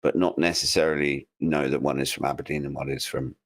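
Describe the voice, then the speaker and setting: A man's voice: a bass voice, little pitch movement, fast talker. A man co-hosting a podcast, talking in a hesitant, musing way in casual chat. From United Kingdom. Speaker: podcast co-host.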